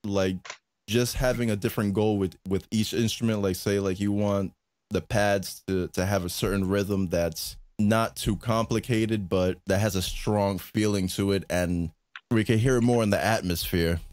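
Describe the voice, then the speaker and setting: A man with a low voice chatting on a podcast about making music, speaking hesitantly with many restarts. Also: steady tone, normal speed, a little mellow. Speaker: music producer and streamer. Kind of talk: casual chat.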